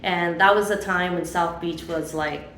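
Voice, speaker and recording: A woman's voice: on the low side, fairly even intonation, unhurried, a bit bright, echoey room, slight background noise. A woman in her fifties from Chile who grew up in Miami, telling her life story in a rambling, chatty way as an interview guest. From Chile, raised in Miami. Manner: chatty, rambling with many fillers.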